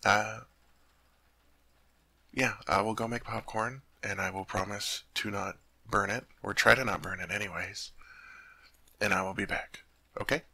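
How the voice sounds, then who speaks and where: A man acts out a sweet, naive teddy bear who has become a tall man, for an ASMR roleplay. His voice is very bright and crisp-edged with a gravelly creak, recorded close and dry. He talks in an innocent, earnest, chatty way, easily puzzled by human things. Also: fairly low pitch, steady tone, measured pace, pauses often, no room sound, full character voice. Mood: curious, eager. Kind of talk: ASMR roleplay addressed to the listener.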